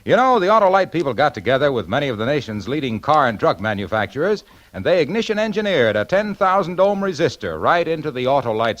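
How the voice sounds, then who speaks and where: A man's voice: on the high side, a bit bright. A man working as a 1940s radio announcer, selling the sponsor's product with punchy, emphatic patter.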